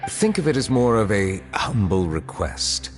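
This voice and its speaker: A man voicing a dignified chief justice who speaks in a measured, formal and restrained way. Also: lowish voice, big pitch swings, soft background music. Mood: solemn. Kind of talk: video game voice acting.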